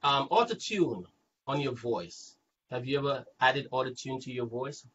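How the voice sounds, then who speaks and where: A man's voice: medium pitch, normal speed, fairly bright tone. A man hosting a casual interview, asking simple questions and groping for words at times. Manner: short encouraging replies, searches for words. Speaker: interview host. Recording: lo-fi with faint noise.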